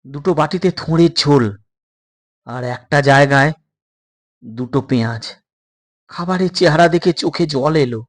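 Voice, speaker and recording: A storyteller narrating a suspense tale in a dramatic, deliberate manner. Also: mid-range voice.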